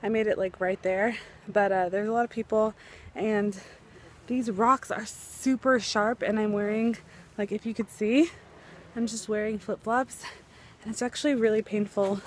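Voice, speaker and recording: A woman's voice: mid-range voice, steady tone, normal speed, a little hiss or hum. A young woman in her twenties filming a casual travel vlog with a handheld camera, talking in a chatty, upbeat way on a beach and a boat. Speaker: travel vlogger. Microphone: camera held at arm's length.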